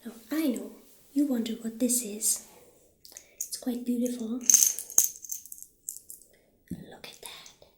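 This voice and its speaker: Woman as friendly witch shopkeeper for ASMR roleplay: soft, breathy, unhurried, slightly high, roomy sound. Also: background noise. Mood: softly admiring. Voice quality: soft, breathy, half-whispered. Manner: gentle, unhurried, hesitant pauses. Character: friendly witch shopkeeper showing off potion ingredients.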